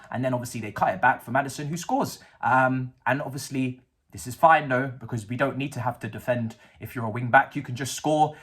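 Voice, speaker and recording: A man's voice: medium pitch, slightly rough. A man, ranting about a football match in a casual, sarcastic and slangy way. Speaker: football fan vlogger. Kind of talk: casual match reaction rant.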